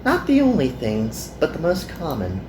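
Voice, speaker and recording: A man's voice: a little high, wildly swooping pitch, moderate pace, roomy sound, background noise, pitched above the performer's own voice. A man voicing an earnest, perky young magical girl from an anime game.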